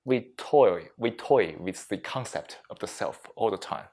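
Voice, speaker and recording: Low-voiced man teaching mindfulness in short phrases. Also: lively intonation, darkish tone. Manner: deliberate, short phrases with frequent pauses; non-native English phrasing with grammatical slips. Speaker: meditation teacher giving a workplace session. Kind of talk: slow, reflective mindfulness talk to a group.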